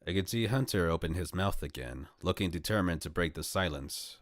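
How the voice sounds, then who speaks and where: A young man streaming from home, calmly reading a visual novel aloud in the first person. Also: sits low, fairly quick, dry recording, clean studio sound.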